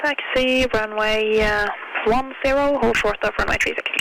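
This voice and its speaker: A woman working as an air traffic tower controller, giving clipped radio instructions in a brisk, routine way over a noisy aviation radio. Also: fairly high pitch, steady tone, creaky. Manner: brisk, routine, slight hesitations.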